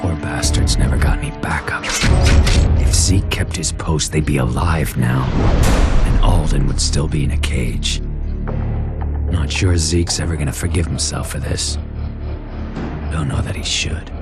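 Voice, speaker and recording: An actor playing a brooding young antihero in a game, gruff and terse, narrating in a hard-boiled, cynical way. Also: male voice, extremely low pitch, a little slow, long pauses. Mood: grim. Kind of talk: scripted game narration and dialogue. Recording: loud game music behind the voice.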